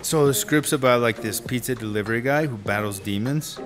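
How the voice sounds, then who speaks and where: An actor playing a laid-back slacker screenwriter in telesales, rambling and slangy in a radio drama. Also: male voice, mid-range voice, fairly quick, natural voice. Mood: animated storytelling. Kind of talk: naturalistic radio drama acting. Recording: music under the dialogue.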